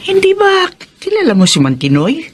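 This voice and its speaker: A woman playing a loving, excitable middle-aged mother in a Filipino radio drama. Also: sits high, moderate pace. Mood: friendly, confiding. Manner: expressive, exclaiming.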